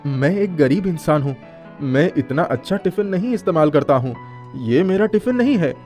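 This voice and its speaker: A performer voicing an earnest, kind-hearted young male college student in a moral story cartoon, polite and expressive. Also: medium pitch, moderate pace, soft background music, lightly acted. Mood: polite, humble.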